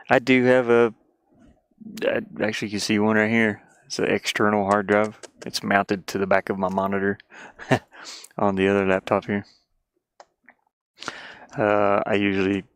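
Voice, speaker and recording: A man's voice: on the low side, a bit bright, clear, resonant tone, dry recording, studio quality. A man streaming casually, rambling and thinking aloud as he goes. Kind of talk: casual live stream chat. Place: inside a van he lives in.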